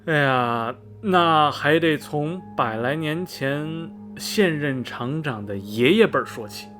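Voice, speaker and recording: Man acting animated, gossipy railway station worker. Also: fairly high pitch, slow pace. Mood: gossipy, knowing. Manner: folksy, rambling, full of sighs and interjections. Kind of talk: Mandarin radio drama dialogue, storytelling. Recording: music behind the voice. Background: background music.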